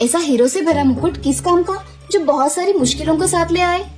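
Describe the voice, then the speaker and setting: Proud village wife, female voice actor, animated fable: very high pitch, scheming, persuasive, soft music bed. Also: brisk. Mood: persuading. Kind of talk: animated Hindi storybook tale.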